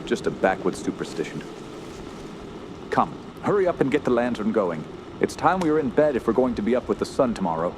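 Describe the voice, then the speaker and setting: An actor playing an earnest, fearful gentleman camper who tells a horror tale in solemn, literary radio drama style. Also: mid-range voice, big pitch swings, fairly quick, noisy background, natural voice. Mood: concerned. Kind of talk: radio drama narration and dialogue.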